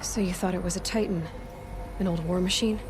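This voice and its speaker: A woman's voice: lowish voice, fairly even intonation, fairly quick, music in the background, natural voice. An actress playing a determined, sceptical young female hunter in a video game, questioning in a guarded, pointed way. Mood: sceptical, demanding. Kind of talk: dramatic video game acting. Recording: noticeable background noise and ambience.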